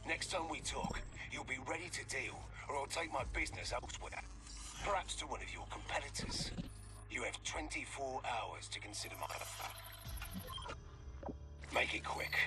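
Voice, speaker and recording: An actor playing a ruthless, hard-bitten renegade dealer in a video game, speaking in curt, threatening commands. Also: male voice, medium pitch, animated, moderate pace, music in the background, lightly acted, rough recording. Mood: cold, commanding. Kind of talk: video game cutscene acting.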